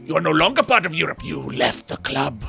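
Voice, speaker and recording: A man streaming a game, bantering with chat in an animated, rambling, self-deprecating way, with faint music behind. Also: on the high side, fairly quick, gravelly. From Wales.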